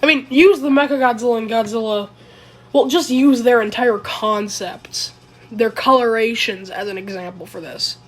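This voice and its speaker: A woman who is sick and raspy, talking through a casual commentary video in a rambling, hesitant way and often correcting herself. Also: a little high, normal speed. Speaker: video creator.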